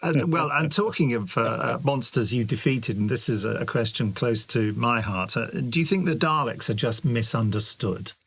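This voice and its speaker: British male interviewer, medium pitch with a husky edge, conversational question-reading. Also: animated, normal speed, few pauses, fairly bright tone.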